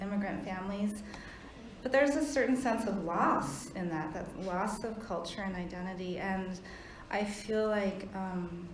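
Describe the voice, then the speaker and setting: A woman's voice: medium pitch, a little hiss or hum. Earnest woman speaking in an echoey room. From United States. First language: Thai. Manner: thoughtful, earnest, many pauses and "um"s. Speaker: panelist. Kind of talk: reflective panel talk.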